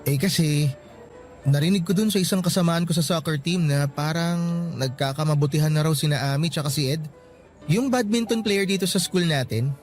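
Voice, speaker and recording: A man's voice: fairly high pitch, normal speed, soft background music, natural voice. A man acting a hesitant, concerned young student friend in a radio drama, with a Filipino accent. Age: young adult.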